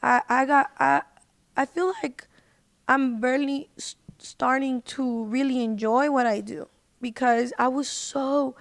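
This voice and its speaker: Female podcast guest, high voice, slangy and emphatic conversational delivery, very dry close sound. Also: steady tone, measured pace, dry recording. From United States. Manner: informal, slangy, emphatic with "like" and "literally". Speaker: podcast guest, independent singer. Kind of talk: casual chat.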